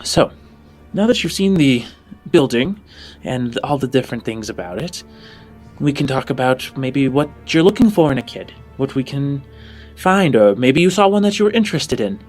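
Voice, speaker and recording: A man's voice: medium pitch, big pitch swings, moderate pace, darkish tone. A polite and accommodating orphanage director, a woman acted out by a male game master. The delivery is businesslike and courteous, with faint music underneath. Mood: courteous, helpful.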